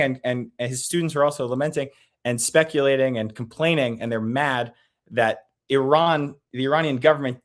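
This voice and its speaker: Earnest male advocate from the US, mid-pitched, quick and stumbling, heard over a video call. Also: fairly bright tone. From United States. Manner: earnest, rapid, with restarts and nervous laughs. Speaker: advocacy group guest. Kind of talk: interview, political advocacy.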